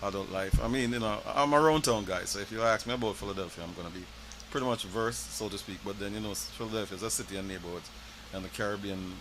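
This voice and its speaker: A man from Jamaica, a festival committee guest, chatting in a relaxed way in a TV interview. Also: on the low side, quick pace, hardly any pauses, background noise. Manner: relaxed, uses "you know" often. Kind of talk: casual interview.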